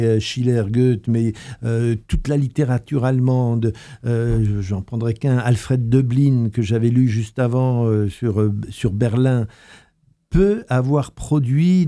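A man's voice: mid-range voice, dark tone. A young man from Alsace, a history student, answering interview questions on the radio in a hesitant way, full of fillers and restarts. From Alsace, France. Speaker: guest, history master's student. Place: radio studio.

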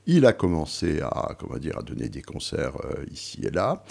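Older guy with a super low gravelly voice, kinda husky, lots of stops and repeats. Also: huge pitch swings, moderate pace, darkish tone. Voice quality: very deep gravelly voice, many hesitations and repeated words. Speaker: author and music writer. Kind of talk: radio interview guest.

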